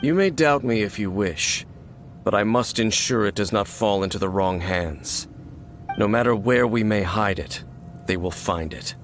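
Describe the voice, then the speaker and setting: An actor playing a stoic, guarded, world-weary warrior in a video game, speaking in a measured, formal way. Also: male voice, lowish voice, faint music behind, lightly acted. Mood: firm, distrustful. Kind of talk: video game character acting. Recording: faint noise and ambience behind.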